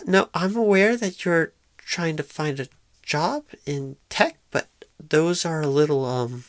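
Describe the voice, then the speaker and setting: A woman's voice: on the low side, no echo. A woman playing a shy, nervous, inexperienced job recruiter in an ASMR roleplay, stammering and hesitating awkwardly. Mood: flustered, awkward.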